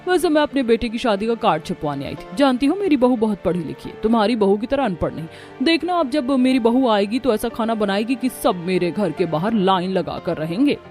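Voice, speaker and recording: A woman's voice: a little high, very animated, a little fast, darkish tone. A woman voicing a boastful, jealous middle-aged village mother-in-law in a cartoon family drama, with a haughty, taunting manner. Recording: music behind the voice. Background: music.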